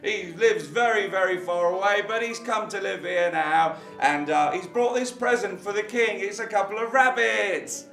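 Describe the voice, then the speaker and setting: A man voicing a clever, excitable male cat servant who talks fast and stammers. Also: sits high, creaky, pitched above the performer's own voice. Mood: cheerful. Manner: eager, fast-talking, stammering. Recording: roomy, distant, faint guitar.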